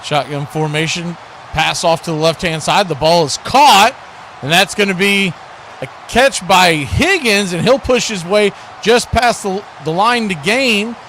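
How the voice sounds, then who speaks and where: High-pitched American sports announcer, noisy broadcast. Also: male voice, measured pace, fairly bright tone. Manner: announcer delivery describing action in clipped phrases. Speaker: play-by-play radio announcer and host. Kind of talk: live American football commentary and station promos.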